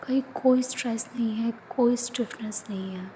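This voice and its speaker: A woman leading a guided yoga nidra relaxation, speaking in soft, often whispered instructions. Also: a little high, steady tone, moderate pace, a little hiss or hum. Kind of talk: guided meditation.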